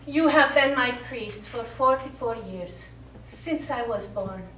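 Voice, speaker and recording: Actress as Italian village woman, forties, high voice, anxious, wounded, dramatic, stage play, echoey room. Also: slow, bright, forceful tone. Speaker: stage actor. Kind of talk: live stage play dialogue. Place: small indoor theatre studio, recorded from audience distance. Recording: roomy, distant stage recording with background noise.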